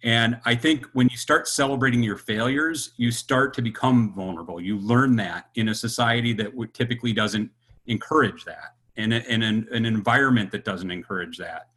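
A man's voice: mid-range voice, normal speed, fairly bright tone, slightly rough. A man in his fifties speaking sincerely and thoughtfully as a podcast guest. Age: 50s. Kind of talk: reflective conversation.

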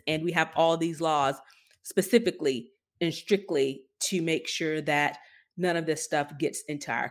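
A woman co-hosting a podcast, talking through the news in a casual, conversational way and thinking aloud. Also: low voice. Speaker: podcast co-host.

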